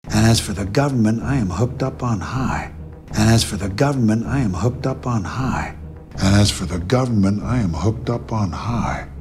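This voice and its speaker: A man's voice: fairly low pitch, normal speed, darkish tone, natural voice. An actor playing a boastful man who claims powerful connections. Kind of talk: film dialogue.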